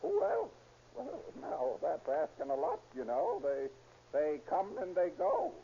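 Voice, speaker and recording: A man's voice: a little high, wildly swooping pitch, measured pace, gravelly, dark tone, heavily acted. An actor playing a folksy, forgetful old workman who rambles hesitantly in a 1930s radio drama. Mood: flustered, rambling. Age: older. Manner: hesitant, rambling, rustic old-fashioned talk. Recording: hissy muffled 1930s radio recording.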